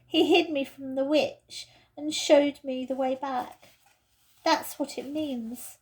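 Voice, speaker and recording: A woman's voice: sits high, darkish tone, voice pushed up, poor audio. A woman voicing an earnest young girl in a storybook, emphatic and pleading.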